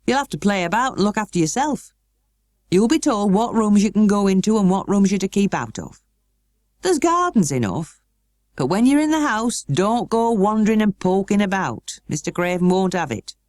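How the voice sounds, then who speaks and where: A woman's voice: mid-range voice, very animated, normal speed, darkish tone, no echo, pitched above the performer's own voice, close to an everyday voice. Lady reading as a stern no-nonsense housekeeper woman, storybook style, scolding and bossy, very dry recording. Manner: scolding, matter-of-fact, instructing. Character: brisk, bossy middle-aged housekeeper woman, blunt and stern.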